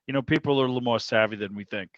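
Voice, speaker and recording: A man's voice: medium pitch, racing. A man chatting casually in a rambling, anecdotal way on a video call. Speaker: radio show producer and interviewer. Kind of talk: casual hobby club chat. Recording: dull, roomy video-call audio.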